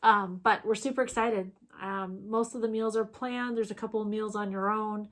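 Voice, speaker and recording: A woman's voice: medium pitch, fairly even intonation, normal speed. A woman, co-hosting a casual stitching chat, rambling and chatty with plenty of fillers. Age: 40s. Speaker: co-host.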